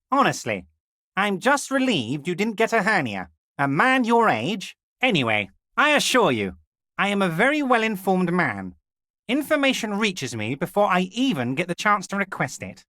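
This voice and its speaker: Casting: scheming male union boss in a game, high for a man, very lively intonation, oily mock-friendliness. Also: no echo, clean studio sound, full character voice. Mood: teasing flattery. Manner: unctuous, theatrical, mock-friendly condescension. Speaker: video game voice actor. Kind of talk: scripted game character dialogue.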